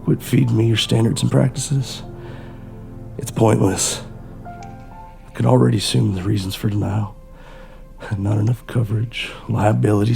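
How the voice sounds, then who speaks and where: A man's voice: on the low side, gravelly, mellow. A man playing a weary, embittered, protective father of a sick child in an audio drama, intense and emotional. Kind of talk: dramatic audio play acting.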